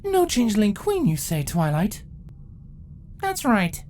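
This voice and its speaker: A woman's voice: mid-range voice, huge pitch swings, slow pace, darkish tone, slight background noise. A woman voicing regal changeling queens and a pony in a dramatic fantasy story, speaking formally and theatrically. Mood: earnest. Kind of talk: dramatic story character acting.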